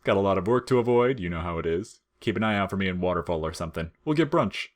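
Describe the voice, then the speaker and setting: A man's voice: low-pitched, lively intonation, quick pace. A man voicing a lazy, grinning skeleton slacker from a game story, talking in casual slang with dry, teasing humor. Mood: breezy. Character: laid-back, wisecracking lazy male skeleton, grinning slacker.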